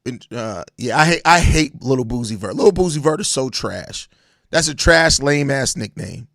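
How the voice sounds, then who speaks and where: An American man with a hoarse, raspy voice worn out from overuse, chatting casually and emphatically in slang as a solo sports podcast host. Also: mid-range voice, expressive, moderate pace, a bit bright, no room sound, studio quality. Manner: slangy, emphatic, repeats phrases. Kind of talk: casual live-stream sports chat answering viewer comments.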